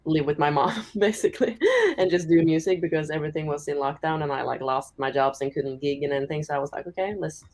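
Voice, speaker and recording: A young woman from Norway with a deep voice, chatting casually as a singer-songwriter in an interview, full of "like" fillers. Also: fast talker. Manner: relaxed, chatty, many "like" fillers, giggles often.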